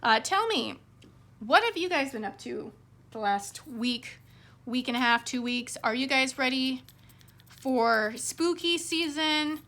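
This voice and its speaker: Female craft vlogger, fairly high and crisp-bright, slightly hoarse, informal rambling chat. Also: expressive, slow. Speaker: craft vlog host. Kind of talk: casual rambling chat to viewers while crafting.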